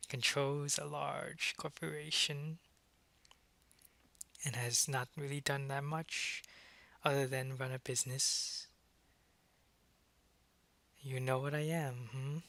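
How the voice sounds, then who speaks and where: Male actor as smug vampire businessman, bright forceful voice, measured, politely menacing, ASMR audio drama, very dry close sound. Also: medium pitch, no room sound. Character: suave, calculating vampire businessman, head of a corporation.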